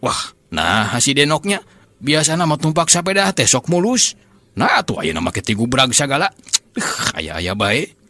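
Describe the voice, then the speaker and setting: A man's voice: mid-range voice, big pitch swings, unhurried, sharp tone, dry recording, heavily acted. A man voicing an envious, exasperated monkey trainer muttering complaints in a comic folk tale, with a Sundanese accent. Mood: dismayed. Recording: noisy, hissy recording.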